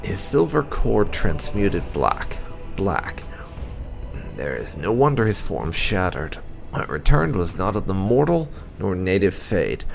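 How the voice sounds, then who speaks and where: A man reading fantasy game lore aloud in a measured, theatrical way with pauses. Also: low-pitched, sing-song, vocal fry, faint music behind. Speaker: gameplay channel host.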